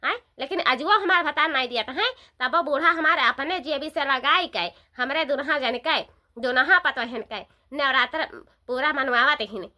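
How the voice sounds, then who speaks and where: Village daughter-in-law for cartoon female performer, eastern Uttar Pradesh accent, high-pitched, talkative and emphatic. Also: moderate pace, bright, forceful tone. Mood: grateful, emphatic. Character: dutiful chatty village elder daughter-in-law. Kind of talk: Hindi-belt cartoon dialogue.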